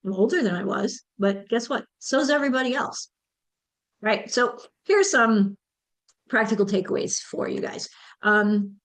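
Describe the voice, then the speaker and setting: A woman's voice: medium pitch, normal speed, a bit bright. A woman, hosting a motivational solo podcast and speaking to listeners directly in an encouraging way. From United States. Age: 50s. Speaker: podcast host and menopause mentor.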